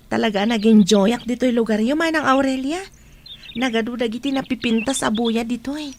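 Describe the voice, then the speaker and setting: A woman's voice: high-pitched, slight background noise. An actress playing an appreciative, admiring young woman on holiday in a radio drama.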